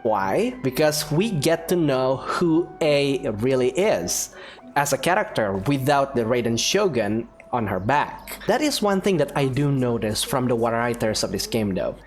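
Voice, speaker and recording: A man's voice: medium pitch, very animated, unhurried, soft background music. A man hosting a gaming video, giving casual gameplay commentary in a chatty, informal way.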